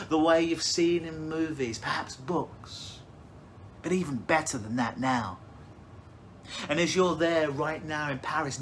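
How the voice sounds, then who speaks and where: A man leading a guided hypnosis visualization, speaking in slow, soothing, suggestive phrases. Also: a little high, a bit bright, a little hiss or hum.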